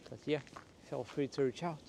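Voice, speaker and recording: A man hosting a walking tour in a casual, unscripted way, speaking in halting, broken phrases outdoors on a city street. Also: fairly high pitch, vocal fry, dark tone. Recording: noisy outdoor street with traffic. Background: street ambience and passing vehicles.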